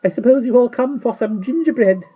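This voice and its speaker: Reader doing a shrill, very high little old shopkeeper woman, bossy and cackling, swinging between sweet and fierce; dull lo-fi sound. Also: a little fast, slight background noise, lightly acted. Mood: chattering. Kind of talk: storybook reading.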